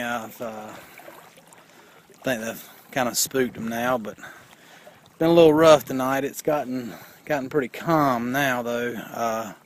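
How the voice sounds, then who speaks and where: A man's voice: mid-range voice, slight background noise. A tired man talking casually to his handheld camera while fishing outdoors at night. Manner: tired, relaxed, mumbling. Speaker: night fisherman filming. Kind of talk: casual commentary while filming.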